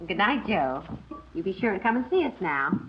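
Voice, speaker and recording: An actress playing a chatty, gossipy middle-aged American neighbor in a 1950s radio drama. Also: female voice, mid-range voice, big pitch swings, normal speed, slight background noise, natural voice. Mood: polite. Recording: muffled old radio recording.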